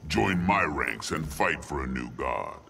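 An actor playing an imperious alien god-tyrant in a video game, with gravelly, pompous, commanding menace. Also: male voice, animated, a little slow, music in the background, full character voice. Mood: cold menace. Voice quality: gravelly, very deep.

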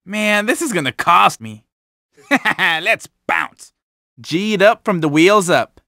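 A vain young tuner car from a racing video game, full of slangy swagger. The voice is bright and forceful, swooping widely in pitch, with an unhurried delivery and a dry, close sound. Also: male voice, very animated, dry recording, exaggerated voice. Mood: smug, boastful. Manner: slangy, boastful, exclamatory. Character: cocky young male street-racer tuner car, vain show-off. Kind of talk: video game character barks.

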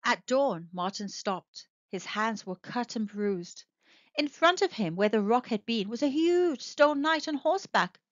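A woman narrating a children's storybook aloud with expressive, animated storytelling. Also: fairly high pitch, measured pace, no room sound. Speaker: storybook reader. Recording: dry, dull lo-fi sound.

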